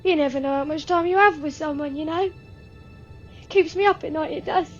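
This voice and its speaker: Woman as very high-pitched plucky little boy. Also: fairly quick, faint music behind, lightly acted. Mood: earnest. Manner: earnest, old-fashioned street urchin phrasing. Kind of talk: radio play acting. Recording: dull, lo-fi, faintly noisy recording.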